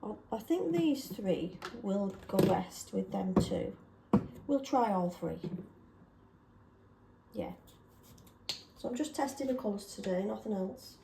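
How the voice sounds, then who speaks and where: A woman chatting casually and self-deprecatingly while testing paint colours, slightly hoarse from a sore throat. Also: mid-range voice, animated, slow, long pauses, roomy sound, heavy background noise. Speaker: craft vlogger.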